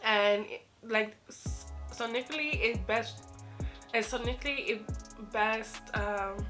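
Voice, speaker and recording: A woman's voice: on the high side, slow, music in the background. A young woman from Mali vlogging casually, a little nasal from the flu, rambling with many fillers. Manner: rambling, filler-heavy with lots of "like" and "um". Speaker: YouTuber. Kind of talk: casual personal vlog.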